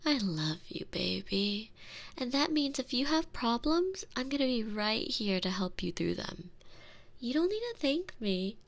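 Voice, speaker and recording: A woman acts out a tender, protective girlfriend in intimate binaural ASMR. Her pace is slow and unhurried, with a crackly fry at the edges and pitch that swings softly up and down. She comforts and dotes, often sinking into a whisper. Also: very animated, full character voice. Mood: tender reassurance. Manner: soothing, doting, breathy murmurs dropping often into whispers. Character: tender, protective, gently dominant girlfriend soothing her frightened partner.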